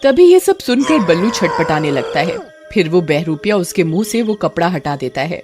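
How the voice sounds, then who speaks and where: Animated woman narrating a story. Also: lowish voice, moderate pace, soft background music. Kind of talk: scripted dramatic story narration.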